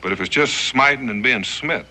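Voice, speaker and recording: An actor playing an easygoing, wise frontiersman father in a TV western, measured and dryly humorous. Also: mid-range voice, bright, forceful tone. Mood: homespun wisdom. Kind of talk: TV western acting. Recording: noisy old TV soundtrack.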